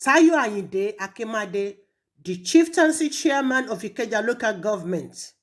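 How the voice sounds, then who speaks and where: A woman's voice: on the high side, expressive, measured pace. A woman with a Nigerian accent delivering a confrontational monologue, scolding and taunting someone with heavy emphasis. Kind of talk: confrontational monologue addressed to an absent person, mixing two languages.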